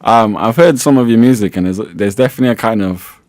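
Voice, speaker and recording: A man's voice: fairly low pitch, fast talker, darkish tone, no echo. A man hosting a radio interview in a studio, easygoing and teasing, laughing often. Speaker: radio host. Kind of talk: casual interview.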